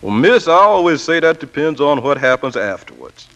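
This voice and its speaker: An actor playing a humble, chatty night janitor in a 1940s radio play, with folksy, deferential speech. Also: male voice, a little high, very animated, moderate pace, background noise. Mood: folksy, wistful. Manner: folksy, uneducated grammar, deferential. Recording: vintage 1940s radio broadcast.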